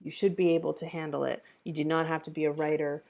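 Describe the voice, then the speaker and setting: A woman's voice: deep voice, little pitch movement, fast talker, creaky, darkish tone. A woman hosting an online script table read, chatting casually in an appreciative, encouraging way. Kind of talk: casual feedback chat and stream sign-off.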